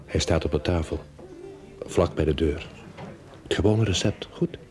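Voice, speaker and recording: A man's voice: rumbling low, unhurried, darkish tone, soft background music. An actor playing an obliging male barman in a radio drama, discreet and courteous.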